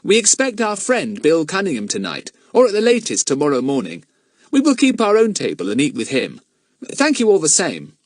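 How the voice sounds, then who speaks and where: An eager young boy from a storybook adventure, acted out by a man. The voice is lifted high and emphatic, confident yet well-mannered, with a dry close sound. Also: wildly swooping pitch, normal speed, no room sound. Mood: firm, polite. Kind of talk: audiobook character voice.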